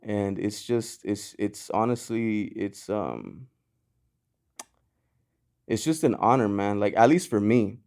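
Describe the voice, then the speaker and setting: A man's voice: on the low side, takes it slowly, creaky, pauses often, a little mellow. A man born in Mexico, a podcast guest talking loosely in casual chat, with lots of fillers and restarts. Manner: loose and rambling, lots of "you know" and "like", frequent restarts. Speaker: guest, clothing brand founder.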